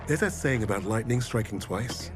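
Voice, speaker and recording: Actor as stern soldier guy narrating a war game cutscene, low voice, measured and sardonic, loud music under him. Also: lively intonation, natural voice. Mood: wry. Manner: measured, wry storytelling. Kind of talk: scripted cinematic war narration.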